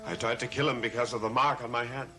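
A man's voice: mid-range voice, steady tone, fast, close to an everyday voice. An actor in his thirties playing a brooding, intense heir haunted by a family curse in a gothic television soap. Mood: troubled. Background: dramatic music.

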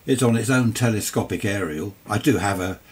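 Older southern English man, lowish voice. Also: fairly quick. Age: older, 60s or 70s. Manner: rambling, chatty, asides and self-questions. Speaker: amateur radio hobbyist presenting a video. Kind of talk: casual unscripted product demonstration. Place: cluttered indoor home radio workshop.

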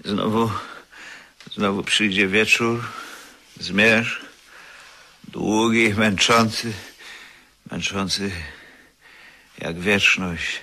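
An actor playing a broken, drunken man obsessed with his lost wife, speaking in halting, repetitive, anguished bursts in a radio drama. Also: lowish voice, steady tone, pushed and bright, heavily acted. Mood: tormented. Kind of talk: 1970s Polish radio drama acting.

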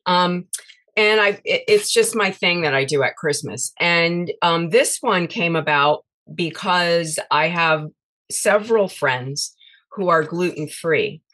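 A woman's voice: fairly low pitch, slow, fairly bright tone. A woman guest on a podcast, talking casually about her recipes with many fillers and restarts. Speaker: guest, cookbook author. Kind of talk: casual interview chat.

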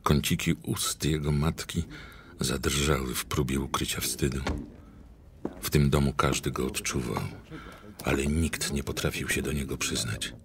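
An actor as a solemn storyteller, reading scripted narration for a brooding audio drama. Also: male voice, a bass voice, steady tone, measured pace, creaky, a crowd in the background, heavily acted. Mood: somber.

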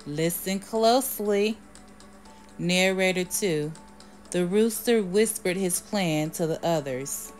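A woman reading a children's storybook aloud in a measured way, announcing each part before she reads it. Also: fairly low pitch, a little mellow. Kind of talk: storybook read-aloud, scripted narration.

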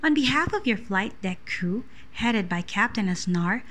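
A woman's voice: medium pitch, expressive, fairly bright tone, close to an everyday voice. A young woman with a Filipino accent voicing a polite, formal flight attendant reading a scripted in-flight announcement. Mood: courteous, formal. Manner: formal, measured, reading aloud. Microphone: earphone mic held near mouth.

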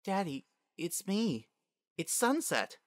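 Man doing a high, anxious young pony mare. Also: big pitch swings, slow pace, no echo, studio quality, heavily acted. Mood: tender. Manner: hesitant, quivering when emotional. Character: young adult female pony, anxious, emotional daughter.

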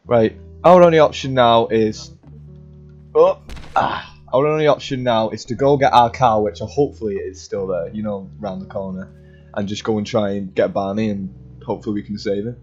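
A man's voice: lowish voice, normal speed, darkish tone, breathy edge. A young man improvising casually in character in a video game roleplay. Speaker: gaming roleplayer. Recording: dull lo-fi game mic, music behind.

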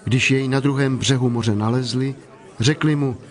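A man narrating scripture as a measured storyteller from a script. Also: medium pitch, steady tone. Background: prominent music.

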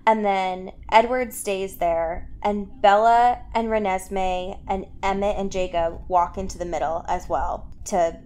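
A woman's voice: mid-range voice, unhurried, hardly any pauses, clear, resonant tone. Slangy woman podcaster recapping a story. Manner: animated storyteller acting out characters' reactions in slang. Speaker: podcast host. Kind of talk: casual, slangy chat recapping a book.

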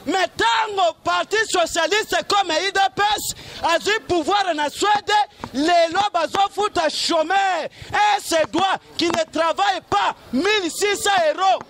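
A man's voice: extremely high pitch, measured pace, hardly any pauses. A middle-aged man with a Congolese accent giving an impassioned, emphatic political speech in the street, speaking into a handheld microphone. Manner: declamatory, emphatic, often shouting. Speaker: political activist, street orator. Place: outdoors on a street among onlookers.

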